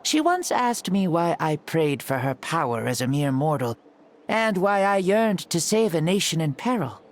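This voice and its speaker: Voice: medium pitch, big pitch swings, moderate pace. An actor playing a spirited ancient hero living in a borrowed young body, with a playful, theatrical way of talking in a video game. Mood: proud, reflective. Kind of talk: scripted video game dialogue.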